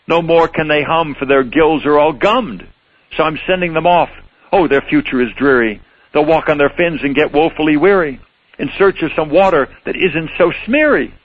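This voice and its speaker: A man's voice: on the high side, moderate pace, no echo, highs cut off, lightly acted. A man voicing a small, grumpy old forest guardian creature, scolding in rhyming verse.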